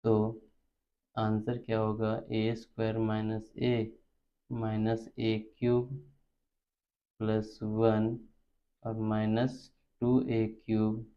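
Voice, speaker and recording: A man's voice: fairly low pitch, completely flat delivery, takes it slowly, long pauses. A man with an Indian accent teaching maths step by step, instructional and repeating terms as he works. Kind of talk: step-by-step spoken lesson. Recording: dull lo-fi recording.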